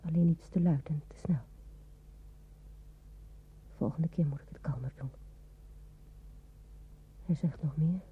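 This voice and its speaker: A woman playing an anxious, timid hospital nurse in a radio drama, with hushed inner thoughts and dutiful replies, on a tinny old recording. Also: low-pitched, slow pace, pauses often, husky, exaggerated voice. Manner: hushed whispered asides between dutiful phone replies.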